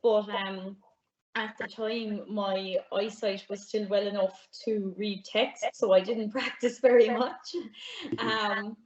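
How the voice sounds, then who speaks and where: Flat-toned young Irish woman, roomy audio. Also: mid-range voice, slow. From Ireland. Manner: soft-spoken, with frequent ums and light laughs. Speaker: guest, music PhD student. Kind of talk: casual interview answers. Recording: roomy, dull remote audio.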